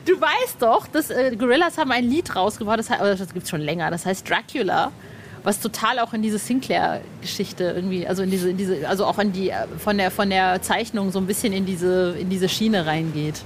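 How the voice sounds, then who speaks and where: A woman's voice: mid-range voice, brisk. Enthusiastic woman interviewer over faint background noise. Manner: informal, enthusiastic fan-like talk. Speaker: podcast interviewer. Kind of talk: casual interview chat.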